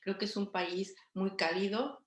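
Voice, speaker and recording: A woman's voice: mid-range voice, fairly even intonation, measured pace. Hesitant woman host in an echoey room. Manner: hesitant, many fillers, friendly. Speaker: host and interviewer. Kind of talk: interview introduction and questions.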